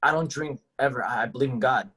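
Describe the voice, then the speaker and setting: A man reads an earnest, uneasy high school boy in a film drama. The voice is husky and mid-pitched, with a hesitant, sincere delivery. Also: quick pace, a bit bright. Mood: nervous, earnest. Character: nervous, earnest religious male high school student. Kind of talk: table read of a film script.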